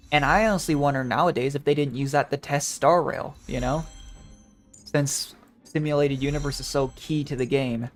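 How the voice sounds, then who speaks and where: A game streamer chatting casually and thinking aloud in a rambling way, with music behind. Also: medium pitch. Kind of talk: casual gaming livestream chat.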